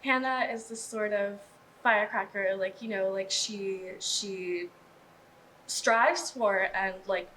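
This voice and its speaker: A woman's voice: medium pitch, slow, sharp tone, a little hiss or hum, poor audio. A young woman, a student actor, chatting casually as she is interviewed. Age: young adult.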